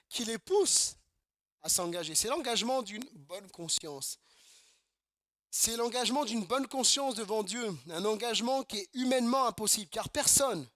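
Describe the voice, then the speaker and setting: A man's voice: sits high, fairly bright tone, no room sound, studio quality. A man from Normandy preaching a sermon, emphatic and repetitive, asking the congregation rhetorical questions. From Normandy, France. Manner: emphatic, repeats phrases, asks rhetorical questions. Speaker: pastor. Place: church service.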